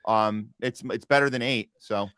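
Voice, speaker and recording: A man's voice: on the low side, moderate pace, fairly bright tone, clear, resonant tone, no echo. A man hosting a political talk podcast, speaking earnestly and rambling with frequent restarts. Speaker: podcast host. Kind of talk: casual political talk show.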